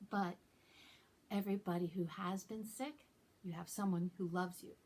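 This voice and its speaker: A woman hosting a children's storytime as a librarian, reading aloud and talking to young listeners in simple, deliberate phrases. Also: medium pitch, creaky, a little hiss or hum.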